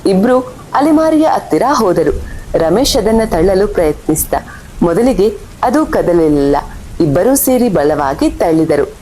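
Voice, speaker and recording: Mellow woman narrating a horror story. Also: big pitch swings, measured pace, low-quality recording. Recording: faint rumble and rain behind voice.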